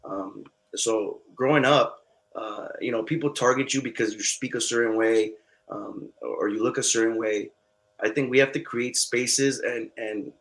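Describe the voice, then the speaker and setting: A man's voice: on the low side, rough recording. Earnest man, native Spanish speaker. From United States. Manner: earnest, halting, many fillers. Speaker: political candidate. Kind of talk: forum answer.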